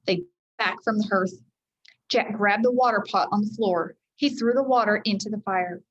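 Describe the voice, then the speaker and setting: A woman reading a children's chapter book aloud in an expressive teacherly style, speaking directly to her young listeners. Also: medium pitch, moderate pace, lo-fi sound. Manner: addresses young listeners directly, acts out dialogue.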